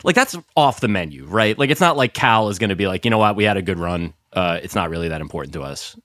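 Animated man rambling wryly on a podcast. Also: low voice, quick pace, dry recording, clean studio sound. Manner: rambling, wry, profane. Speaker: podcast co-host. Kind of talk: casual sports podcast chat.

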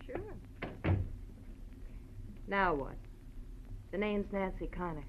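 A woman's voice: medium pitch, huge pitch swings, drawn-out words, exaggerated voice. An actress playing a brassy, flirtatious, wisecracking woman in 1940s radio noir style. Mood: sardonic, flirty. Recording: muffled tinny old radio recording, faint noise.

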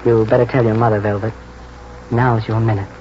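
An actor playing a keen, plain-spoken young English stable lad in a 1940s radio drama, halting and colloquial. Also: male voice, on the low side, flat delivery, natural voice. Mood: conspiratorial, earnest. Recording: muffled, noisy 1949 radio broadcast.

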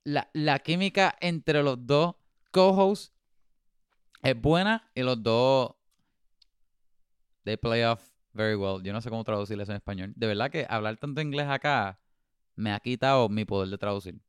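Very clear-voiced podcast host. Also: male voice, medium pitch, moderate pace, dry recording, clean studio sound. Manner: playful, exclamatory, laughs often. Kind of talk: casual podcast chat mixing Spanish and English.

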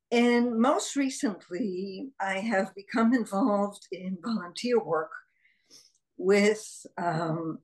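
Slow, measured woman in her sixties. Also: medium pitch, low-quality recording. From Israel. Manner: slow, measured, with frequent pauses and fillers. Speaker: guest psychologist. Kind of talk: reflective interview storytelling.

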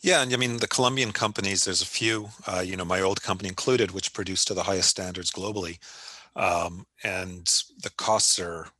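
A man's voice: deep voice, steady tone, a little fast, bright, forceful tone, dry recording. A man hosting a podcast interview, asking long, rambling questions in a casual, conversational way. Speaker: podcast host.

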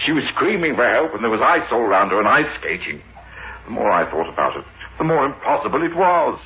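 A man's voice: medium pitch, sing-song, brisk. An actor playing a haunted, reluctant man cursed with visions of doom, anguished and hesitant in 1960s radio horror style. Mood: grim recollection. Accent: South African. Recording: dull, noisy old radio recording.

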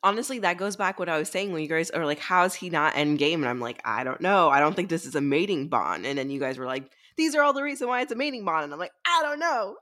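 A woman's voice: on the low side, expressive, fast, few pauses, fairly bright tone. A woman co-hosting a book podcast, chatting casually with a wry, joking manner. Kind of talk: casual chat.

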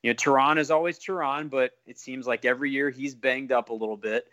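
A man with a bright, sharp voice hosts a conversational sports interview. He is long-winded and halting, revising his phrasing as he goes. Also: mid-range voice, fairly even intonation. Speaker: sports podcast host.

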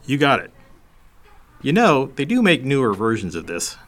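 An actor playing a casual, helpful electronics repair shop worker in a naturalistic audio drama. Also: male voice, medium pitch, wildly swooping pitch, moderate pace, a bit bright. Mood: friendly, obliging. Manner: casual, obliging.

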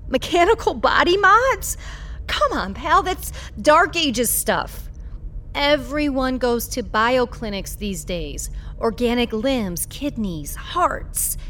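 An actress playing a blunt, world-weary parts dealer in a science-fiction audio drama, talking in a familiar way. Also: female voice, high-pitched, very animated, slow pace, bright, forceful tone, heavily acted. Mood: dismissive.

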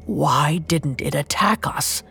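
A woman's voice: very animated, takes it slowly, vocal fry, breathy edge, soft background music, heavily acted. A woman doing a weary, whining father. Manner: low, whining, tired. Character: weary, drunken middle-aged father.

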